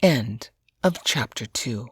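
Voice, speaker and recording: A woman's voice: very deep voice, animated, takes it slowly, fairly bright tone, husky, no room sound, clean studio sound. A woman reading a scripted story aloud as its narrator.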